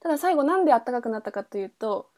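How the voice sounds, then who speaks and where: A woman's voice: fairly high pitch, lively intonation, racing, darkish tone. A woman podcast host in a casual book chat, thinking aloud in trailing, loosely linked phrases. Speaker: podcast host reviewing a novel.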